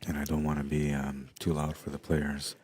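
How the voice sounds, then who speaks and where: A middle-aged man commentating a pool match in a hushed, unhurried voice with a very deep bass, tired late in the day. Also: completely flat delivery, husky, no echo, studio quality. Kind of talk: quiet live sports commentary. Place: pool hall during a tournament.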